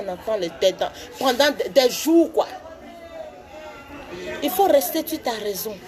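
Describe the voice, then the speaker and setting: A woman with a high voice talks straight to camera from her office. She preaches in loud, laughing street talk and sounds like she has a cold. A crowd chatters in the background. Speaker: vlogger.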